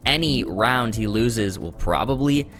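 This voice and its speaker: A man giving live play-by-play commentary on a competitive game, voice jumping up with excitement at big moments. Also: mid-range voice, unhurried. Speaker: esports commentator. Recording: music under the voice.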